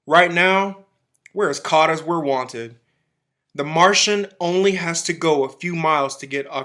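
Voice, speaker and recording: A man's voice: fairly high pitch, a bit bright. An actor playing an embittered, zealous militiaman who survived an alien invasion, in a radio drama, speaking in emphatic, folksy, clipped phrases. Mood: grim, intense.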